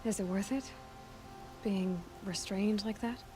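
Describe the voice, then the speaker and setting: A woman's voice: medium pitch, fairly even intonation, measured pace, music in the background, natural voice. A woman playing a capable young tracker heroine in a video game, direct and inquiring. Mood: calm, curious. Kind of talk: video game character dialogue.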